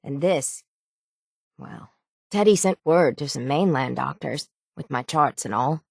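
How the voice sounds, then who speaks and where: A gruff, low-voiced sailor woman from a video game. She talks in plain, folksy islander phrases with a gravelly edge, resigned to her fate yet stubborn. The voice is close and dry. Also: animated, unhurried, dry recording, heavily acted. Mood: somber, resigned. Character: weary, stoic, gruff dying island sailor woman guarding the town's hull. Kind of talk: dramatic video game dialogue.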